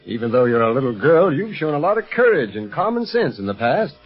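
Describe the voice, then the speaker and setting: An actor playing a calm, kindly, authoritative secret agent leading his team in a 1930s radio adventure serial. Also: fairly high pitch, fairly quick, slightly rough. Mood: genial, assured. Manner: reassuring, genial, authoritative. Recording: muffled old radio recording with music.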